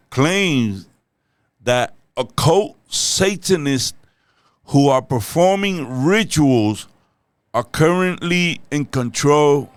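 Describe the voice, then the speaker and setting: An older man from the Dominican Republic, a Spanish speaker with a Dominican accent, hosting a reaction channel in halting English that often repeats words. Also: medium pitch, clean tone, dry recording. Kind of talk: casual reaction vlog intro.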